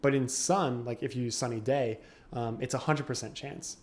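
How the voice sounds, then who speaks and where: A young man on a casual podcast, walking through game mechanics in detail. His voice sits at an ordinary pitch for a man, and he breaks into laughter now and then. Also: steady tone, normal speed, darkish tone. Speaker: podcast co-host. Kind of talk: casual chat.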